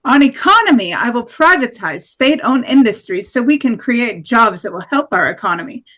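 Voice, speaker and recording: Woman playing a high-voiced hardline female politician. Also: sing-song, normal speed, fairly bright tone. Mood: strident, assertive. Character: hardline far-right female presidential candidate, strident nationalist. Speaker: actor in an amateur table read. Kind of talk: campaign speech read from script.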